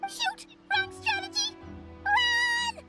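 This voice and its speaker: A woman voicing a tiny, plucky, childlike fairy companion in a video game, squeaky and cartoonish, speaking of herself in the third person. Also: steady tone, takes it slowly. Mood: panicked. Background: loud game music.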